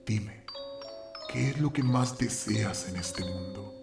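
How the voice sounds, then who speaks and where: A man playing a menacing beast of darkness in a radio drama, speaking slowly and deliberately to its prey with sinister intent. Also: fairly low pitch, creaky, recorded from a distance, voice pushed down. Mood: sinister coaxing. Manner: slow, deliberate, whispering to its prey. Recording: echoey, set back from the mic, loud music.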